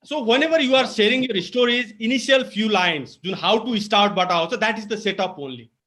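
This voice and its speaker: Nepali guy teaching a speaking webinar on a call, pretty high voice, keeps hammering and repeating his points. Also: a little fast, fairly bright tone. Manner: emphatic, repetitive teaching delivery with code-switching. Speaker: public speaking trainer. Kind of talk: webinar lecture. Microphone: video call.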